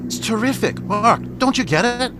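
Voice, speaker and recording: A man's voice: very high voice, animated, normal speed, noisy background. A man playing a sneering, slangy, combative Hollywood publicity man in a 1940s radio drama style. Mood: scheming, excited.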